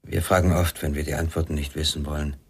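A deep, husky, troubled father. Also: level tone, quick pace, heavily acted. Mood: regretful, reflective. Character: weary, troubled father searching for his son. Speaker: radio drama actor. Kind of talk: radio crime drama acting.

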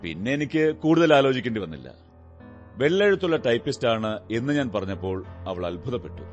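A man playing a brilliant, condescending detective in a radio drama, speaking in a deliberate, instructive, theatrical way. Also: medium pitch, very animated, dull audio, faint music behind, close to an everyday voice. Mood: amused, explaining. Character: brilliant, self-assured male detective, observant and condescending.

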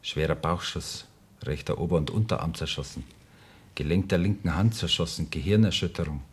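Formal hospital doctor, deep slightly husky male voice, grave, radio play. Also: normal speed. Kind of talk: radio drama dialogue.

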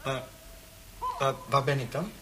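A man's voice: mid-range voice, a little slow, background noise, lightly acted. An actor playing a nervous bank clerk held prisoner in a radio play, hesitant and stammering as he protests indignantly. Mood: indignant, uneasy. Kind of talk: radio drama acting.

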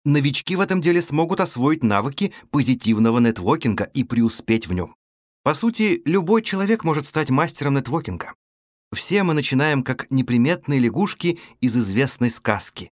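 A man reading a business audiobook as a narrator, in a measured, clearly articulated scripted delivery. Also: medium pitch, sing-song, no room sound, studio quality. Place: recording studio.

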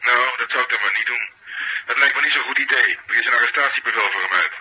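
A man playing a gruff, sceptical, hard-drinking policeman in a radio drama, blunt and dismissive, with a Netherlands Dutch accent. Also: medium pitch, fast talker, bright, forceful tone, low-quality recording. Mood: blunt, matter-of-fact. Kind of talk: naturalistic radio drama dialogue. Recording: faint background noise.

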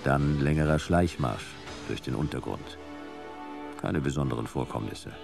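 An actor playing a laconic, hard-boiled future private detective, speaking in terse sentences in a noir radio play. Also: male voice, extremely low pitch, lively intonation, normal speed, creaky, music in the background, exaggerated voice. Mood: terse, brooding. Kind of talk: noir first-person narration and radio play acting.

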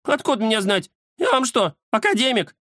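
A man voicing a chatty, mischievous young cat with an excitable, teasing manner in a children's story. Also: high-pitched, a little fast, no room sound, clean studio sound, pitched above the performer's own voice. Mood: excited, cheeky. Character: cheeky chatty round-faced young male cat, mischievous.

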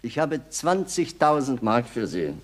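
A confused polite postman in an old radio comedy, halting, voice goes up and down a lot. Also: medium pitch, sing-song. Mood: amused, businesslike. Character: baffled, proper bearded money postman. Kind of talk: 1960s German radio comedy acting.

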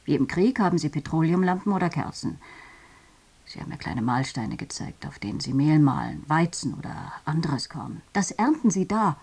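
A low, husky female voice as a reflective journalist in a radio drama. She recounts what she has witnessed in a measured, sober way. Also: expressive, a little mellow, exaggerated voice. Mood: sober, insistent. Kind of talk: radio drama documentary monologue.

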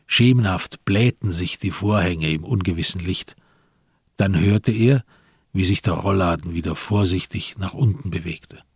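Male narrator, very low, strongly husky and rough, measured, suspenseful, scripted radio crime story. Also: dry recording, clean studio sound.

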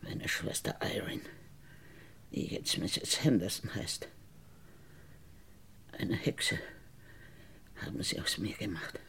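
A bitter woman who insists she was wrongly convicted, in a radio crime drama. Her low voice is husky and creaky. She speaks slowly and deliberately, insinuating and sometimes sinking toward a whisper. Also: lively intonation, a bit bright, full character voice. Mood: bitter, brooding.

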